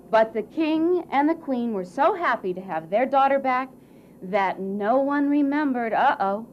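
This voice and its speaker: A woman narrating a scripted fairy tale for a puppet show in a theatrical way, with dramatic pauses and emphasis. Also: fairly high pitch, a little mellow. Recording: muffled, faint background noise.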